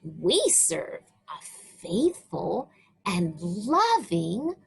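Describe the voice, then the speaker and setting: A woman reading a religious children's book aloud, with deliberate, emphatic phrasing and pauses. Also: on the low side, big pitch swings, gravelly, breathy edge. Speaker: children's story reader.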